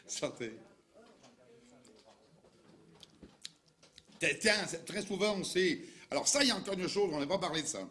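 Man as rustic old Dauphinois grump, thick Dauphinois accent, fast stammering rant, comic sketch. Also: sits high, lively intonation, bright, forceful tone. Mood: indignant. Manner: rambling, stammering repetitions, mock indignation.

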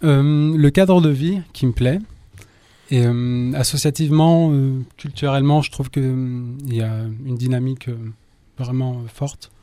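A musician from Lyon answering interview questions briefly, with fillers. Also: male voice, mid-range voice, steady tone, unhurried, warm tone, dry recording. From France, Lyon. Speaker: musician guest.

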